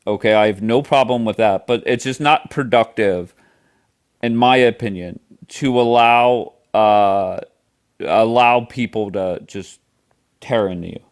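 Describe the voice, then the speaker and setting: A man hosting a live stream debate, calmly and firmly steering his guests in a measured way. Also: on the low side, smooth.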